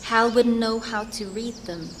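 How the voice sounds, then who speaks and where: A woman's voice: fairly high pitch, a little slow, a bit bright, slight background noise. Woman as an earnest fairytale storyteller, faint ambience. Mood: evocative. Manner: dramatic, evocative. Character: earnest, atmospheric female storyteller, easily irritated by interruptions. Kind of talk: scripted fairytale narration.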